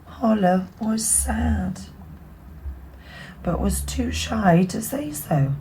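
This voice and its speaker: Unhurried woman reading a bedtime story. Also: medium pitch, a little hiss or hum, poor audio. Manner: expressive, hushed in places. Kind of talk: children's picture book read-aloud with whispered asides.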